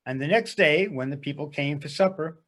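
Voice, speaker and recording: A man telling a folk tale in a deliberate, expressive, emphatic theatrical manner. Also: fairly high pitch. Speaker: storyteller.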